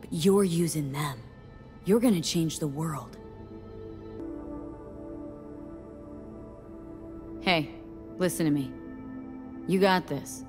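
An actress playing a tough young mercenary woman in a video game, talking in clipped, casual, steady phrases. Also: low-pitched, measured pace. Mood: firm, encouraging. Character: tough, blunt young female mercenary, plain-spoken and steady. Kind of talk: video game dialogue acting. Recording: music behind the voice.

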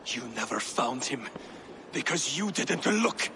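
A man's voice: mid-range voice, very animated, slow. A man playing a suspicious, hot-headed Viking in a video game, confronting someone in a pointed, accusing way. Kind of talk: dramatic video game cutscene acting. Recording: noisy ambience behind the voice.

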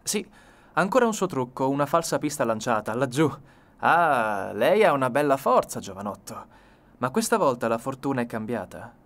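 A man's voice: mid-range voice, very animated, normal speed, fairly bright tone. Man playing an imperious old police detective. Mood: smug, commanding. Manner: imperious, commanding.

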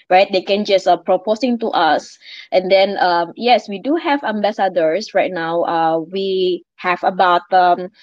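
A woman's voice: medium pitch, no echo. A woman with an Indonesian accent speaks English as a second language, answering community questions. Her delivery is hesitant and full of fillers. The audio is dry and dull, like an online call. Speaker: project representative answering questions. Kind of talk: live audio Q&A.

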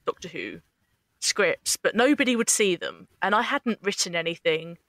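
A woman's voice: lowish voice, big pitch swings, normal speed, a bit bright, dry recording, poor audio. A woman talking casually about her work as a writer and actor. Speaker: scriptwriter and actor. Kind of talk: casual behind-the-scenes chat.

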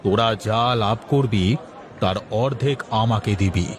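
A man's voice: fairly low pitch, measured pace, some chatter behind, close to an everyday voice. A man voicing a curt, demanding village moneylender, condescending and unbending, in a cartoon. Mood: smug, obliging. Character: gruff, grasping male village moneylender, unbending. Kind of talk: cartoon character dialogue.

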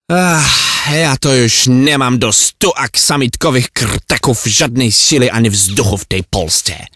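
A male actor plays a hapless Czech fellow adrift in Poland, flustered and exasperated. He rants through a comic audio monologue in a thick-tongued jumble with a Czech accent. The pitch is average for a man, and the sound is dry and close. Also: a bit bright, dry recording, natural voice. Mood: exasperated, bewildered. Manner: flustered ranting in garbled mock Czech-Polish.

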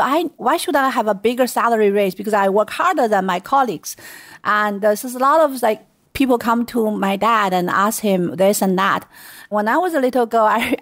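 A woman's voice: a little high, no room sound. Woman, Chinese accent, middle-aged, storytelling guest, dry close sound. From China. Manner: chatty storytelling with light laughs. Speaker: guest, venture investor. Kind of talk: casual interview.

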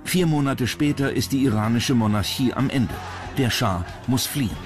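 A man's voice: mid-range voice, normal speed. A man with a standard German accent reading scripted documentary narration. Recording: music bed behind narration. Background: music.

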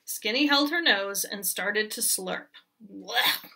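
A woman teacher reading a children's picture book aloud with expressive, rhythmic storytelling. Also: mid-range voice, slow, recorded from a distance.

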